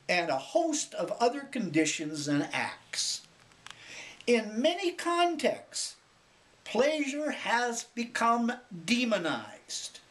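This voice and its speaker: A man reading a spiritual book as a scripted narration, in measured, deliberate phrases with frequent pauses. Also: high voice.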